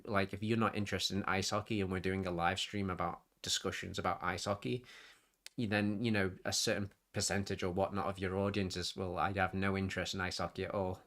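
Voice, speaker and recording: A man's voice: on the low side, a bit bright. A man hosting his own hobby live stream with a nasal, sniffly voice, rambling casually and correcting himself as he goes. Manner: rambling, self-interrupting, chatty. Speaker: YouTube hobby channel host.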